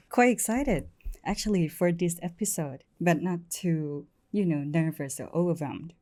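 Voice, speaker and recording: A woman's voice: fairly low pitch, unhurried, a little mellow. A woman, hosting a casual talk show interview in an informal, giggly manner. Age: 20s. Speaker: host.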